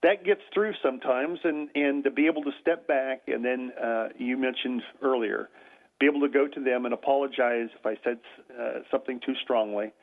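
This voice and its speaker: An older man, a grandfather, talking as a guest on a radio show, with a loud voice and a halting manner full of fillers and restarts. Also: mid-range voice, fairly even intonation. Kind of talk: radio talk show interview. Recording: tinny phone-like radio audio.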